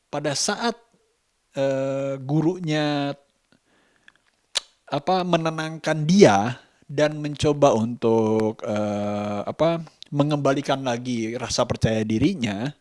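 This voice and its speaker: A man's voice: a little high, a little mellow, dry recording, studio quality. A man talking reflectively in a casual vlog, hesitant and often searching for words. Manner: hesitant, halting, searching for words with repeated phrases. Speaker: vlogger and host.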